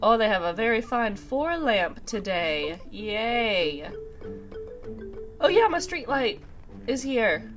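A woman's voice: fairly high pitch, big pitch swings, slow. A woman hosting a casual let's play, chatting to herself in a slightly nasal, congested voice with a sinus infection. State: congested with a sinus infection, recovering from a cough and sore throat. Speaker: gaming video host. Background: video game music.

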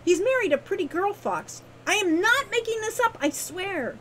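A woman's voice: very high voice, lively intonation, normal speed, a bit bright, pitched above the performer's own voice. A woman voicing an eager, stubborn young girl with a playful, pleading manner in a storybook tale. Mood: earnest, insistent. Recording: clearly noisy background.

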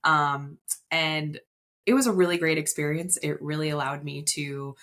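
A woman's voice: low-pitched, fairly even intonation, normal speed, fairly bright tone. A woman, chatting and explaining as a guest in a casual podcast interview. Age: 30s. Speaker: interview guest, wedding planner. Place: indoor room on video call.

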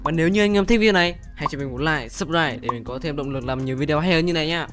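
A young man hosting a casual gaming video with friends, excitable, swearing and rapidly repeating short phrases. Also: a little high. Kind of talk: casual gameplay chat with friends.

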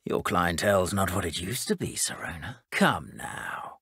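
An actor playing a scheming, condescending aristocratic wizard villain in a video game. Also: male voice, low voice, animated, unhurried, bright, forceful tone, dry recording, heavily acted. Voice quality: husky, smooth and condescending. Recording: dry studio recording.

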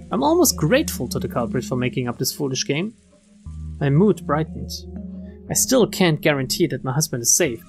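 Woman reading a visual novel over music. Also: low-pitched. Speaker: streamer reading game text aloud. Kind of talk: expressive visual novel read-aloud. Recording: game music behind the voice.

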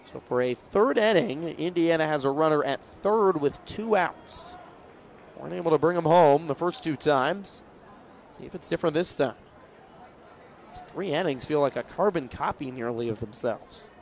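A man's voice: on the high side, animated, normal speed. Young man calling baseball on radio. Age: young adult. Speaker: play-by-play announcer. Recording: faint ballpark noise behind.

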